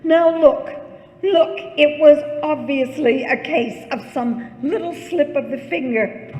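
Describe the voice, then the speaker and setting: A woman's voice: high-pitched, slow pace, a little mellow, a little hiss or hum. A woman playing a nervous, imperious, sickly wife in an old-time radio thriller, flustered and indignant. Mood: indignant, scolding. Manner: fretful, flustered, indignant, melodramatic. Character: frail, nervous, imperious invalid wife alone at home. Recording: echoey hall with long reverb.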